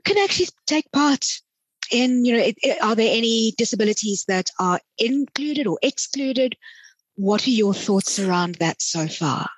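Husky woman interviewer. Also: on the high side, lively intonation, moderate pace, dry recording, rough recording. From South Africa. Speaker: podcast host, interviewer. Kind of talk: conversational interviewing.

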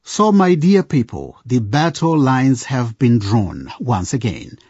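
A man's voice: medium pitch, very animated, takes it slowly, a little mellow, slightly rough, dry recording, highs cut off, exaggerated voice. A man narrating a radio drama as a storyteller addressing listeners in scripted style. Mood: storytelling.

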